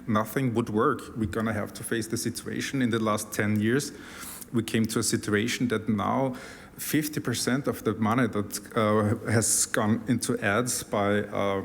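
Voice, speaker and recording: A man speaks as a panel guest in a flat, even tone, pitched a little low. He argues earnestly and pauses often on uh. The long reverb of a big hall follows each phrase. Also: gravelly, a little hiss or hum. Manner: earnest, frequent uh fillers. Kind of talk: panel discussion argument.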